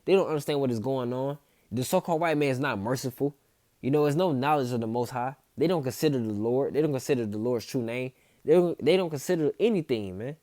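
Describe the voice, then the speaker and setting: A man's voice: mid-range voice, fast, mellow, dry recording, studio quality. A man, preaching informally from scripture, repeating himself and tagging lines with "man" and "okay". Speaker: street preacher.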